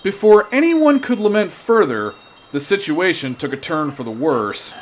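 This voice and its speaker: A man's voice: on the high side, sing-song, normal speed. A man performing as a dramatic storyteller, giving scripted narration for a sci-fi comedy audio drama.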